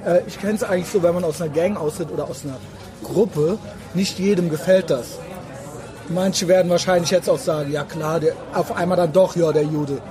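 A man's voice: on the high side, fairly even intonation, fairly quick. A man interviewing someone in a casual, colloquial way, often putting in short words of agreement. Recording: music and crowd noise behind.

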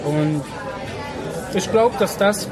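A man's voice: high-pitched, slow pace. A man, telling his own story casually in slangy, emphatic speech, repeating phrases for stress. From Berlin, Germany. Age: 40s. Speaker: interview guest. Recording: music and crowd noise behind.

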